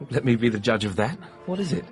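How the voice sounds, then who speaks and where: A man's voice: mid-range voice, expressive, moderate pace, faint music behind, close to an everyday voice. An actor playing an eager, straightforward young Englishman in a radio drama. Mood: eager, curious.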